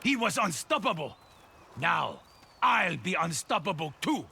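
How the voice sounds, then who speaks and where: A man playing an arrogant, power-hungry shinobi villain in a video game, with a gravelly, menacing, theatrical delivery. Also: sits high, very animated, unhurried, a little hiss or hum, heavily acted. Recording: loud game music behind.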